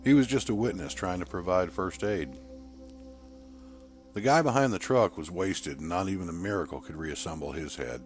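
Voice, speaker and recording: A man's voice: sits low, moderate pace. A man reading a short story aloud as a scripted audiobook narrator. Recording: music bed under voice. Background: background music.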